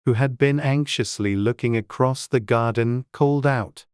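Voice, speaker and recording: A man's voice: mid-range voice, moderate pace, clear, resonant tone, no echo, studio quality. A man reading scripted storybook narration as an audiobook narrator. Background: faint music.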